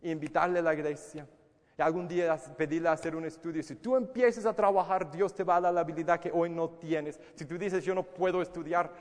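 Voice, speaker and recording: A man's voice: fairly high pitch, steady tone, a little fast, in a large hall. Earnest male preacher, English native. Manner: repeats words and restarts, earnest and emphatic. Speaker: pastor. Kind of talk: sermon.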